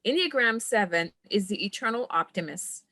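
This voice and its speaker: A woman's voice: medium pitch, lively intonation, moderate pace, pushed and bright, no room sound. Reflective woman guest from Trinidad. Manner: reflective, earnest, laughs often. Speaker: guest, coach. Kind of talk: podcast conversation.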